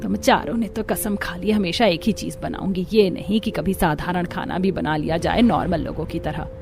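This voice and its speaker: A woman's voice: on the low side, steady tone, moderate pace, creaky, music in the background. A woman voicing a complaining, scolding mother-in-law in a cartoon family story. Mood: aggrieved. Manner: scolding, aggrieved.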